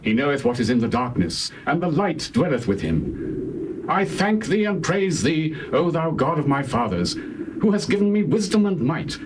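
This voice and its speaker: Devout prophet, sweeping intonation. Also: male voice, a little high, very animated, moderate pace. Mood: reverent. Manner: reverent, earnest, declamatory. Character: devout, wise young Hebrew captive prophet. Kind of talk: dramatized scripture acting in archaic English. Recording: noisy old cassette.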